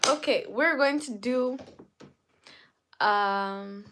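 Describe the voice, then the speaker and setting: Slow-talking streamer woman, chatting to viewers. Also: fairly high pitch, noisy background. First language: not English. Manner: talks straight to the chat, repeats phrases over and over. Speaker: livestreamer. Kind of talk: casual live-stream chat.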